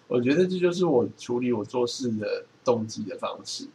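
A man's voice: medium pitch, lively intonation, normal speed, dark tone. A middle-aged man with a Taiwanese Mandarin accent chatting casually as a podcast co-host, explaining things in a matter-of-fact way. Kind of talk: casual chat. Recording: clearly noisy background.

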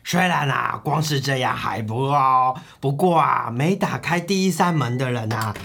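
A man voicing a calm, wise rich man of ancient Babylon who teaches in a deliberate way with drawn-out phrase endings, in an audio drama. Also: mid-range voice, gravelly, a little hiss or hum. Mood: encouraging.

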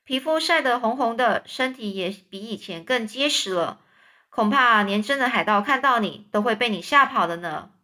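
A woman's voice: on the high side, expressive, moderate pace, pushed and bright. A woman voicing a kindly male schoolteacher. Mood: gentle. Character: stern but kind male schoolteacher.

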